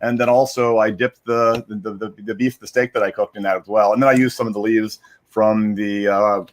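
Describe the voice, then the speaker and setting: A man's voice: on the low side, fairly even intonation, normal speed. A man in his forties from Pennsylvania with an American accent, talking casually as an interview guest. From Pennsylvania, United States. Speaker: guest, social media strategist.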